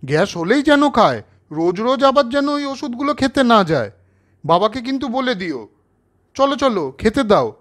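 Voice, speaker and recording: A man's voice: very high-pitched, very animated, moderate pace, a bit bright, exaggerated voice. A man voicing a kind, earnest young coaching teacher in a storybook cartoon.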